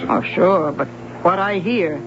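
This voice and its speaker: An actor playing a teasing, wisecracking bartender in a radio drama. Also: male voice, high voice, unhurried, noisy background. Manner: teasing, jokey, streetwise. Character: sly wisecracking male bartender Mums. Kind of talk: 1970s radio drama acting. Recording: dull lo-fi radio broadcast.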